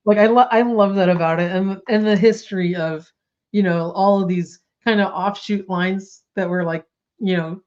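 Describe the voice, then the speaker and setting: A woman's voice: medium pitch, steady tone, normal speed, a little mellow, lo-fi sound. Chatty woman with a slight cold. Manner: chatty, bubbly, lots of likes and ums. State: coming down with a cold. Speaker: toy news podcast co-host. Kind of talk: casual chat.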